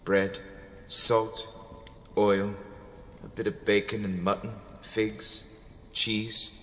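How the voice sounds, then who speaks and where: A man's voice: lowish voice, little pitch movement, very slow, bright, forceful tone, slight background noise. A man giving a dramatic first-person narration of a story. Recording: long reverb over background music. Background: music.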